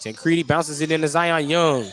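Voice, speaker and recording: Higher-voiced American man calling basketball. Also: moderate pace, fairly bright tone. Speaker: play-by-play commentator. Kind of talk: live basketball radio play-by-play commentary.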